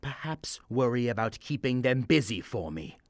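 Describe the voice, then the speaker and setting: An actor playing a scornful, impatient cult mage in a fantasy audio drama, with a condescending manner. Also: male voice, medium pitch, very animated, normal speed, no room sound, studio quality.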